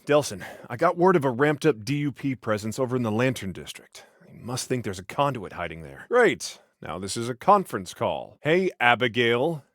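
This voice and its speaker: An actor playing an exasperated, protective older-brother police officer in a video game, sarcastic and by-the-book, talking with conversational hesitations. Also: male voice, medium pitch, a bit bright, no room sound, clean studio sound, lightly acted. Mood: sarcastic, dry. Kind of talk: video game voice acting, one side of phone conversations.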